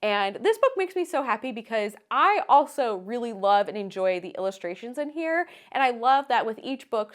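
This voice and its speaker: A woman chatting casually and enthusiastically to viewers as the host of a book vlog, with a gushing way of talking. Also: on the high side.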